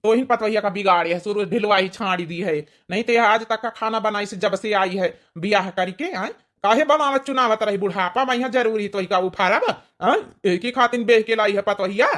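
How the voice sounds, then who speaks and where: Woman playing grumpy Awadhi village father-in-law, mid-pitched. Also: a little fast, few pauses, fairly bright tone, natural voice. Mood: angry scolding. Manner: rambling, repetitive scolding. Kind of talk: cartoon comedy dialogue.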